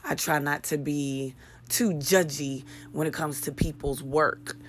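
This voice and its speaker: A woman hosting her own podcast in a casual solo monologue, with a raspy voice and a chatty, candid manner that drifts into swearing rants and self-mocking humor. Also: sits low, steady tone, measured pace, slight background noise. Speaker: podcast host.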